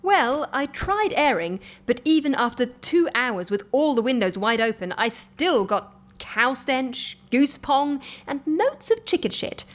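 An actress playing a brisk, droll, capable woman who gently bosses an eccentric office in an audio comedy. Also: high voice, big pitch swings. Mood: gleefully droll. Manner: droll, organised, gently bossy. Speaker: actor in a comedy fiction podcast. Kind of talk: audio comedy drama acting.

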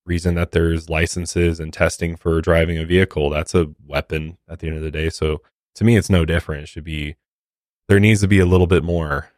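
A man's voice: deep voice, little pitch movement, a little fast, a little mellow, no room sound, studio quality. An American man co-hosting a podcast, talking casually and earnestly in colloquial language. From United States. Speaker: podcast co-host.

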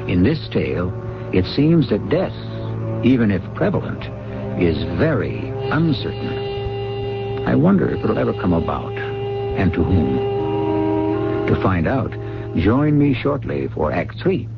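A man hosting and narrating a 1970s radio drama in a theatrical, ominous, deliberate style. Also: mid-range voice, huge pitch swings, gravelly. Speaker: host and narrator. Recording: dull 1970s radio broadcast with loud music.

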